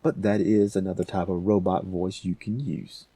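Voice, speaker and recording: A man with a very low, dark voice hosts a casual software tutorial. He talks unscripted, thinking aloud as he works. The sound is dry, recorded close to the microphone. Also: little pitch movement, moderate pace, breathy edge, no echo. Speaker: tutorial host.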